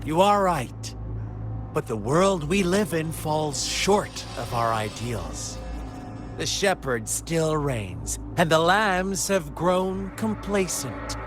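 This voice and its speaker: An actor voicing a theatrical, menacing video game villain who tells dark parables in a playful, taunting way with drawn-out phrasing. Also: male voice, a little high, expressive, over music. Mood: persuasive, intense. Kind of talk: dramatic video game voice acting.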